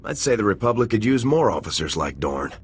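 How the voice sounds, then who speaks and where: An actor voicing an easygoing male army lieutenant with a relaxed, dry tone in a game cutscene. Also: fairly low pitch, fairly quick, husky. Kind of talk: video game cutscene voice acting. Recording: game audio with music bed.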